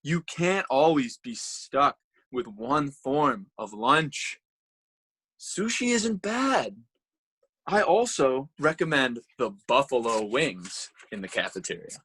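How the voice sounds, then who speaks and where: A man plays a serene, preachy coworker who once stole sandwiches and now swears by sushi. His husky voice moves slowly and swings widely in pitch. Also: exaggerated voice. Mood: soothing. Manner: calm, preachy, dramatic swings. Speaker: voice actor. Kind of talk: amateur dramatic reading.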